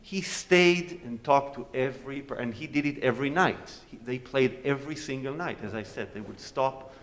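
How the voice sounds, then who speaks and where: A man's voice: mid-range voice, moderate pace, a bit bright. A man whose first language is Hebrew, a guest cellist chatting and joking with a concert audience on stage. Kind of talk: informal talk and audience Q&A on stage. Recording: long concert hall reverb.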